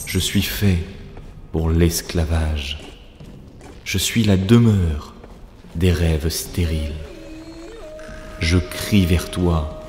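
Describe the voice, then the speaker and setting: A man's voice: deep voice, a little mellow, roomy sound, big-room sound. A man voicing an earnest, solemn spiritual seeker in a cartoon story. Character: Ruslan, earnest seeking man in mystical trance. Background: background music.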